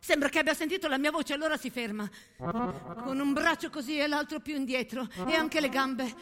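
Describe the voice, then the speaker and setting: An actress playing a haunted young soldier of the First World War, recounting horrors in an intense, intimate theatrical monologue with dramatic pauses. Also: female voice, sits high, fairly bright tone. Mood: awed, rising frenzy. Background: accordion music.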